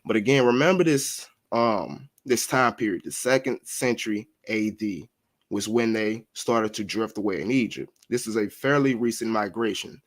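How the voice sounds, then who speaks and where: A man's voice: lowish voice, moderate pace. A man teaching history to listeners he calls family, reading passages aloud, then going back over them with frequent recaps and fillers.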